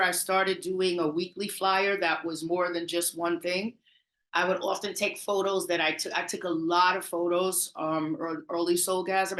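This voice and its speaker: Middle-aged Bronx woman, lowish voice, animated storyteller, echoey room. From the Bronx, New York. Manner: animated, chatty, laughs often, trailing restarts. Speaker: party promoter, interview guest. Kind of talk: casual interview storytelling.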